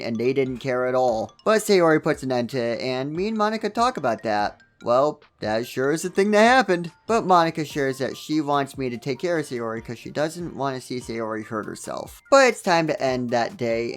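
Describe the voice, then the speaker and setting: Guy doing a jokey game review voiceover, dry sarcastic remarks, medium pitch, music behind. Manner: sarcastic, dry joking asides. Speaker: game review video narrator. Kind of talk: scripted comedic narration of a visual novel.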